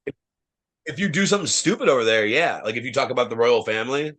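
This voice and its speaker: Young man from Ohio, mid-pitched, loud, swears a lot, rambling story-telling podcast guest. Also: fast talker. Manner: loose, profane storytelling, lots of fillers; says he has a loud voice. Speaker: guest, professional wrestler. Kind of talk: casual podcast chat.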